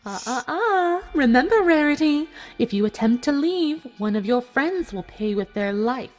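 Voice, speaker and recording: Woman playing a mocking, high-voiced villainous unicorn mare. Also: lively intonation, a little mellow, faint music behind. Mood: cold, amused. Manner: mocking, slow and deliberate. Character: sadistic female unicorn, mocking, coldly pleasant, gleeful.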